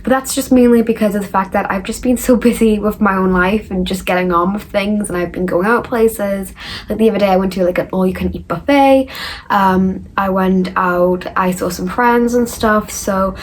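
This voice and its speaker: A woman's voice: medium pitch, moderate pace, a little hiss or hum. A young woman from northeast England, chatting casually as she answers viewer questions in a rambling vlog. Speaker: recovery vlogger.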